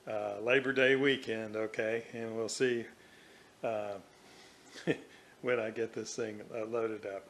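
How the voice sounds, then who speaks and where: A man narrating an unscripted workbench vlog as a hobbyist restorer, speaking haltingly in short, hesitant phrases. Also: fairly low pitch, fairly bright tone. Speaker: hobbyist radio restorer presenting own video. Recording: clearly audible background noise.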